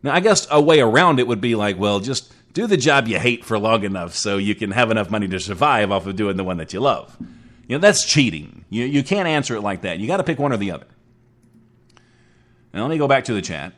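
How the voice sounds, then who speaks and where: A man's voice: fairly low pitch, lively intonation, brisk, fairly bright tone. A man in his forties hosting a casual solo talk show, chatty and rambling, joking at his own expense. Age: 40s. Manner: chatty, rambling, self-mocking. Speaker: internet radio show host.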